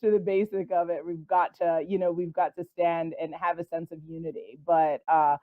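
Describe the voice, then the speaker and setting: A woman hosting a podcast interview, asking questions in a measured way with frequent "you know" and affirming hums. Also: fairly low pitch, little pitch movement, dry recording. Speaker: podcast host. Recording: dull, dry sound.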